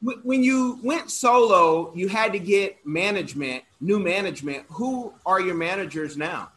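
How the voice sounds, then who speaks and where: A man's voice: sits high, animated, normal speed, fairly bright tone. A man hosting an interview in a chatty, joking style with rambling asides. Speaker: interviewer, singing teacher. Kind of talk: casual interview.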